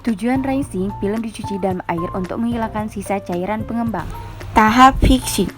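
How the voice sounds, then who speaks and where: A woman with a mid-pitched voice reads a scripted instructional narration. Her delivery is formal and read-out, with soft music playing behind her. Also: normal speed.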